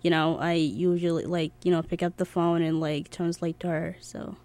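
A woman's voice: lowish voice, flat delivery, moderate pace, darkish tone. A young woman from Egypt whose first language is Arabic, chatting casually as an interview guest in an informal, giggly way peppered with "like". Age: young adult. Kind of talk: casual chat.

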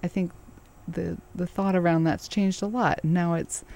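A woman's voice: fairly low pitch, fairly even intonation, unhurried, creaky. A woman interviewing a guest, asking thoughtful questions in an encouraging, easygoing way. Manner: warm, encouraging, laughs easily. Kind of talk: conversational interview.

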